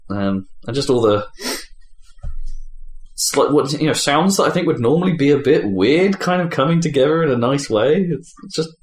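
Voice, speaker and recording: A man's voice: medium pitch, animated, normal speed. A man hosting a podcast, chatting casually about video games in a conversational, hedging way. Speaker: podcast host. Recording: roomy echo, clear background noise.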